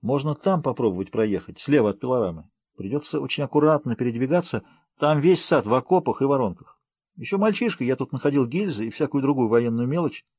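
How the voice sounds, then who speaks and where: A man's voice: medium pitch, lively intonation, fast, a little mellow, slightly rough, no room sound. A man voicing a cheerful, confident, wordy man who is a friendly guide, in an audiobook. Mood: matter-of-fact. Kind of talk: audiobook character voice.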